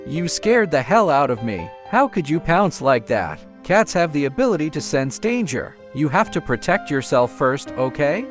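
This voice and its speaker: A man voicing a caring, protective teenage boy in a scripted romance comic dub, speaking in a reassuring, gently chiding way. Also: a little high, very animated, moderate pace, hardly any pauses. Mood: worried scolding. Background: background music.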